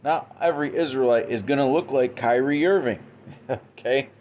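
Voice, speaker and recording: A man's voice: mid-range voice, normal speed, darkish tone, slight background noise. A man giving an informal religious talk, tagging nearly every sentence with "okay?" and "man", with frequent restarts. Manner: frequent restarts and repeated words. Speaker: religious commentator. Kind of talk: informal preaching monologue. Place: indoors, Western New York.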